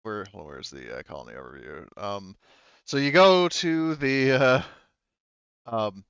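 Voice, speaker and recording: A man's voice: on the low side, expressive, measured pace, gravelly, a bit bright, smooth, no room sound, muffled recording. A man running a tabletop roleplaying game, narrating casually in a rambling way with many false starts. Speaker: game master.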